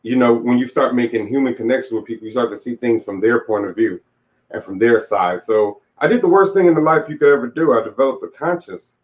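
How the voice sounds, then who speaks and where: A man's voice: medium pitch, fast talker. A man in his late forties giving an interview, telling his life story in a candid, reflective, plain-spoken way. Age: late 40s. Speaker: interview guest, author. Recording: dull, lo-fi audio.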